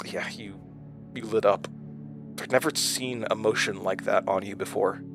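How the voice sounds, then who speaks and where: An actor playing a haunted, weary, wry man who confides his story haltingly in a horror audio drama. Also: lowish voice, steady tone, over music, lightly acted. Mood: wistful, hesitant. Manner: hesitant, confessional, halting. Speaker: lead character and narrator of a horror audio drama. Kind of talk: horror audio drama acting, narration and intimate dialogue.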